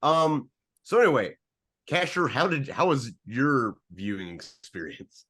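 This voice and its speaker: A man's voice: mid-range voice, very animated, unhurried, fairly bright tone, breathy edge. A man with a rambling, earnest way of talking, hosting a casual film discussion and often cutting into his own sentences. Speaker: film podcast host.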